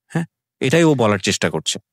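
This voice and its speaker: A man's voice: mid-range voice, very animated, a little fast, gravelly, husky, dry recording, clean studio sound. A middle-aged Bangladeshi man hosting an interview, speaking earnestly and with opinions, mixing in English phrases as he reflects. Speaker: show host.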